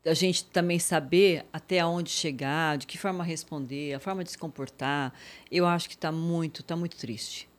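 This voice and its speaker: Lowish-voiced countryside São Paulo woman host. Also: fairly even intonation, normal speed. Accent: São Paulo countryside Portuguese. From São Paulo interior. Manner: chatty, chimes in and finishes the guest's sentences. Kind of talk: interview conversation.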